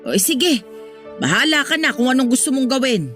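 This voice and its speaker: A woman with a Filipino accent playing a patient, gently firm middle-aged mother in a radio drama. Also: high-pitched, sing-song, brisk, soft background music. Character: patient, protective middle-aged mother soothing her daughter.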